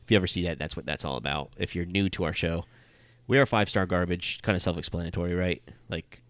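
A man's voice: sits low, fast, no room sound. A man co-hosting a podcast, stuffy from a cold, rambling with many fillers. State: stuffy, getting over a cold. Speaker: podcast co-host. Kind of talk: casual chat.

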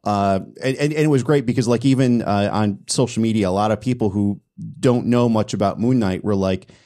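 Man, podcast host, low voice, casual chat, stammering restarts, enthusiastic. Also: a little fast, dark tone.

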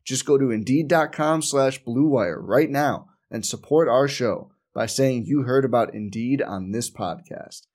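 A man's voice: mid-range voice, unhurried, hardly any pauses, a little mellow. Man reading a scripted podcast ad. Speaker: advertisement announcer.

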